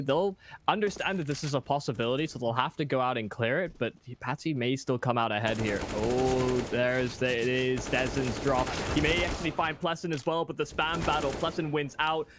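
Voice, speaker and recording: A man giving live esports commentary, measured and analytical, breaking down team tactics. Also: on the high side, background noise. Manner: measured, analytical, some restarts. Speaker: esports caster.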